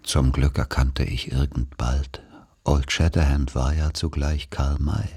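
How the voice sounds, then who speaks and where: Radio drama narrator: wry man recalling boyhood, very deep, unhurried, measured and solemn. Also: fairly even intonation, a little mellow, slightly rough, exaggerated voice. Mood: reflective, incantatory. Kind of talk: literary radio play narration.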